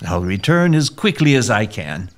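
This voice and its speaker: Man acting lively, genteel Victorian gentleman. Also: on the low side, normal speed. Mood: affable. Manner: expressive, genteel. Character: affable Victorian country gentleman, fond and dismissive of fears. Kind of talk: theatrical audio drama acting.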